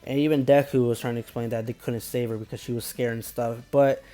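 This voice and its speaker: Male reviewer, medium pitch, mellow tone, casual unscripted recap, rambling and filler-heavy, light music underneath. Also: steady tone, fast. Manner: rambling, repetitive filler phrases, stumbling over names. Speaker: anime episode reviewer.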